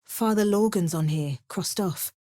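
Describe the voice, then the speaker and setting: An actress playing a watchful, wry and resolute female fantasy adventurer in a video game, with a measured, theatrical delivery. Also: mid-range voice, warm tone, no echo, studio quality, lightly acted. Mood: observant, wry. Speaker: voice actor for a player character.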